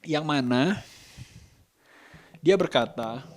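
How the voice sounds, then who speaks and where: A man with a colloquial Jakarta Indonesian accent talks reflectively and casually to camera as a vlogger, halting and thoughtful as he searches for words. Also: on the high side, expressive. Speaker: vlogger and parent.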